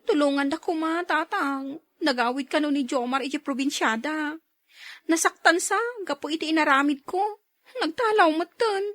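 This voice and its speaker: Casting: woman as nagging young wife, Ilocano accent, high-pitched, emotive radio-style drama, close dry sound. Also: moderate pace, fairly bright tone, no echo. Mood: remorseful. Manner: scolding, emotive. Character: nagging, quick-tempered young Ilocano wife, pregnant, devout.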